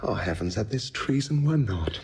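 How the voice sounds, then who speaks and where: An actor playing a treacherous young schemer feigning pious sorrow in a Shakespearean audio drama. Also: male voice, lowish voice, very animated, moderate pace, a little mellow, husky, exaggerated voice. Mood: feigned anguish.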